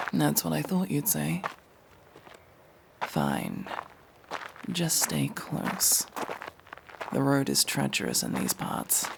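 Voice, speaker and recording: Woman acting a gravelly, low-voiced masked elf. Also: wildly swooping pitch, drawn-out words, long pauses, heavily acted. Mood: brisk, teasing, then hushed, urgent. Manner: curt, bossy, hushed delivery with breathy whispers. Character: masked tsundere elf rescuer, gruff, world-weary, guarded. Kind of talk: ASMR fantasy roleplay acting. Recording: faint background noise with ambience.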